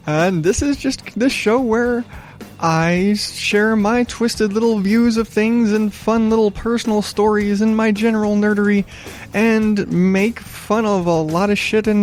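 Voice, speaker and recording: A man hosting a solo podcast in a smooth voice, chatting casually in a low-key, rambling, self-deprecating way with some swearing. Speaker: podcast host.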